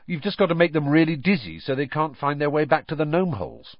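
A man's voice: a little high, big pitch swings, fast talker, dry recording, highs cut off, pitched above the performer's own voice. A man voicing breezy, eager teenage brothers in a cheeky, matter-of-fact way.

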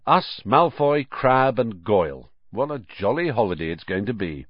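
A man's voice: fairly low pitch, big pitch swings, normal speed, no echo, dull audio. Casting: grumbling schoolboy sidekick read by a male narrator, sarcastic, wry, in a dry storybook recording. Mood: glum sarcasm.

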